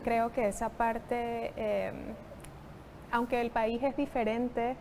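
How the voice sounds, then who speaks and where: A woman in her thirties, a psychotherapist, explaining calmly as an interview guest. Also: on the high side, slow pace, noisy background. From Venezuela.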